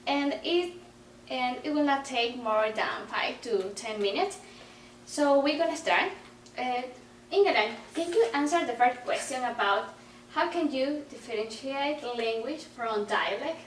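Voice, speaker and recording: A woman reads her interview questions hesitantly, her voice set high. She is picked up from a distance in a small, echoey room with faint background noise. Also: animated, a little slow. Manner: hesitant, with fillers and restarts. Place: dim small room at home. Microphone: far camera.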